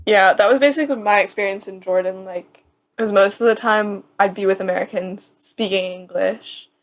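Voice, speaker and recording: A woman's voice: medium pitch, moderate pace. A young woman in her twenties co-hosting a casual podcast, talking in a chatty, rambling way full of "like.". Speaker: podcast co-host.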